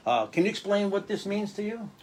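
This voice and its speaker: A man's voice: high-pitched, expressive, brisk. A man hosting a gospel radio interview show in a studio, affirming his guest with frequent amens. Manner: affirming, peppers talk with amens. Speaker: pastor and show host.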